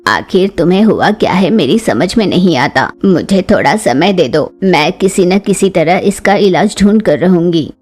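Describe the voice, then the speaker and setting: A woman voicing a kindly, reassuring female crow doctor with a husky voice in a children's cartoon. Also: lowish voice, expressive, moderate pace, hardly any pauses, a little mellow, faint music behind, voice pushed down. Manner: reassuring, coaxing. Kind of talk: Hindi children's cartoon voice acting.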